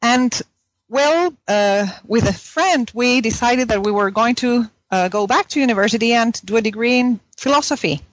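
A woman's voice: on the high side, moderate pace, no room sound. Chilean-accented woman, dry slightly dull recording. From southern Chile. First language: Spanish. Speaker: academic guest, philosophy professor. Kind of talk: conversational interview, telling own story.

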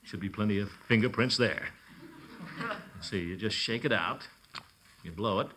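An American actor playing a pompous, good-humoured middle-aged uncle in 1940s radio comedy, blustering and chuckling through drawn-out hesitations. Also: male voice, fairly low pitch, noisy background, close to an everyday voice. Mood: jovial.

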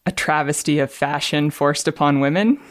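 A woman's voice: low voice, normal speed, no room sound. A woman reading aloud and explaining things as an engineer, in a dry, matter-of-fact manner. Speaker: engineer and podcast contributor.

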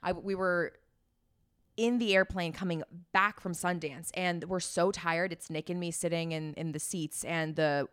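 Woman, raspy edge, chatty podcast co-host, teasing and casual. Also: lowish voice, fairly even intonation, unhurried, a bit bright, no echo, clean studio sound. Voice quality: slightly raspy. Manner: playful storyteller, calls others "sweetie". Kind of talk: casual chat. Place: in-person studio or room in Los Angeles.

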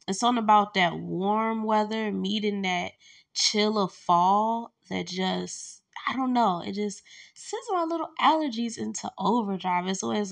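Congested woman with a gravelly voice. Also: medium pitch. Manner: chatty and candid, with laughs and fillers. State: congested from allergies, lying down. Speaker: podcast host. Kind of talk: casual solo podcast chat.

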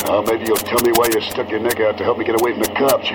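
A man playing a suspicious, slow-witted small-time gangster henchman with slangy tough-guy talk in an old radio crime drama. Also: on the low side, little pitch movement, lightly acted. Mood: wary, suspicious. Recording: noisy old radio recording.

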